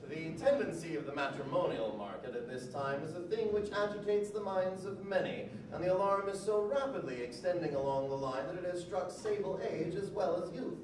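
A man's voice: high voice, a little fast, few pauses. A middle-aged man giving a melodramatic, mock-pleading comic reading of old satire on stage. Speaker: reader at a live literary reading. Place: museum lecture hall with live audience. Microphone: stand microphone on stage, distant camera recording. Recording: very echoey hall, distant, audience noise.

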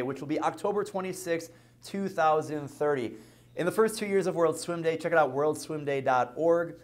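Upbeat man giving a company address. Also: fairly high pitch. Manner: upbeat, rousing presenter. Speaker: company CEO. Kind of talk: company address to the team and viewers. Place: studio with dark backdrop. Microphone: lapel mic.

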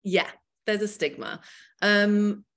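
A woman's voice: medium pitch, slow pace. A young woman with a Teesside English accent, chatting casually on a video call interview, with many fillers and restarts. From Teesside, Northeast England. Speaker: actor, guest. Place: small room indoors. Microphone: laptop webcam, video call.

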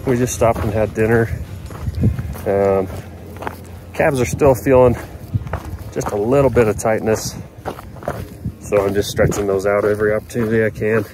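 A man's voice: fairly low pitch, fairly even intonation, normal speed, pauses often, over music, poor audio. A man on a hike giving a casual check-in outdoors. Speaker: hiker.

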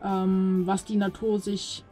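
A woman speaking casually on a travel vlog, slow and thoughtful with fillers. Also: mid-range voice, level tone, over music. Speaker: travel vlogger.

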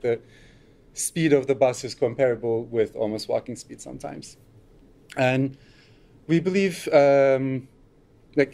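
A man's voice: medium pitch, warm tone. A middle-aged man from Turkey giving a conference talk at a lectern, loose and unscripted, with frequent fillers. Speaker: conference speaker. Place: lecture room, at a lectern.

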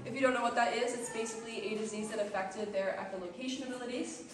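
A young woman guiding a cave tour, explaining the formations to a group in a measured, informative way. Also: fairly high pitch, fairly even intonation. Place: large cave, indoors. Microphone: far camera held by a visitor. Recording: echoey cave recorded from far off.

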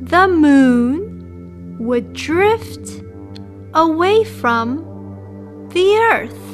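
A young woman presenting and reading for young children in an upbeat, clearly enunciated way, as a storytime host. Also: very high voice, sing-song, extremely slow, faint music behind. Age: young adult. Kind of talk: children's read-aloud and presenting.

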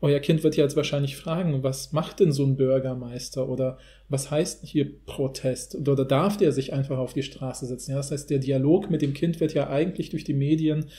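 A man's voice: mid-range voice, fairly even intonation, a little fast, hardly any pauses, dark tone. A man in a casual analytical podcast discussion, talking in a rambling, academic way.

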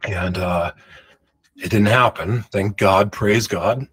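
A man in his forties telling a rambling personal story to camera in a room at home, talking emphatically and projecting loudly. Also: lowish voice, slow, husky. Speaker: vlogger. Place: home room with pictures on the walls. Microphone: large studio mic beside him.

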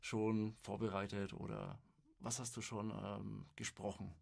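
A man's voice: lowish voice, steady tone, unhurried, creaky. A man hosting a podcast and asking questions in a casual chat. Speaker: podcast host, interviewer.

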